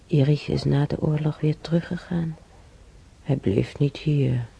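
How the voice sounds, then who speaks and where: An actress playing an ailing older woman in a radio drama, reminiscing wistfully about wartime, breathy and halting, often sinking to a whisper. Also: sits low, mellow, a little hiss or hum, exaggerated voice. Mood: haunted, agitated. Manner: halting, murmuring, repeating herself.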